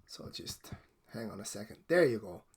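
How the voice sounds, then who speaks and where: A man's voice: lowish voice, lively intonation, normal speed, slightly rough. A middle-aged Danish man with a Danish accent touched by Irish and American traces, talking casually and apologetically in a heartfelt video message, rambling and laughing often. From Denmark. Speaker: comic book collector. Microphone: desk microphone.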